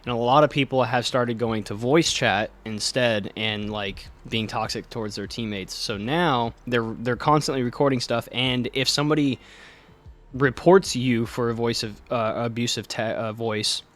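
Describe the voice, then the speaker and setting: Young dude chatting easy on a desk mic, explains stuff, mid-pitched. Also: male voice, moderate pace, a bit bright. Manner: easygoing, explains tech things, laughs often. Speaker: podcast co-host. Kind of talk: casual chat. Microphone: desk microphone with level meter.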